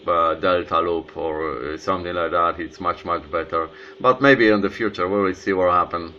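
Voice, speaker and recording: Dull lo-fi recording, faint noise, man, low sharp-edged voice, halting casual radio operator talk. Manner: halting, repeats words, non-native phrasing. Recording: dull lo-fi sound, faint hiss.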